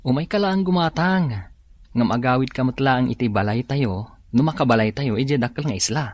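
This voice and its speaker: Student son male actor: young man, rough-edged voice, earnest and eager, radio drama. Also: medium pitch, normal speed, darkish tone, close to an everyday voice. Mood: eager, reassuring.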